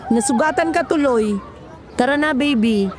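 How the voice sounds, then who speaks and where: A woman's voice: high-pitched, lively intonation, moderate pace, crowd noise. A woman playing a worried, scolding nanny in an audio drama. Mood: scolding, apologetic. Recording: noisy outdoor ambience behind voice.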